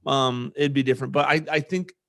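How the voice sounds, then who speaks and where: A man's voice: medium pitch, fairly even intonation, a little fast, gravelly, fairly bright tone. A man hosting a podcast in casual, conversational chat, talking informally as an army sergeant. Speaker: podcast host.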